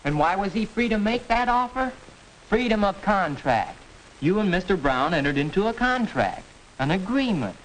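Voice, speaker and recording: A man's voice: high-pitched, big pitch swings, normal speed, fairly bright tone, low-quality recording. A young-sounding American man playing an earnest teenage boy who explains things didactically in a 1940s educational film. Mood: explaining. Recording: hissy old film soundtrack.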